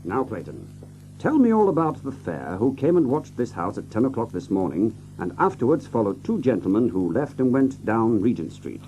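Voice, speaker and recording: An actor playing a commanding, sharp-witted gentleman detective in an audio drama, authoritative, incisive and theatrical. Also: medium pitch, sing-song, normal speed, highs cut off, faint music behind, close to an everyday voice. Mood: probing.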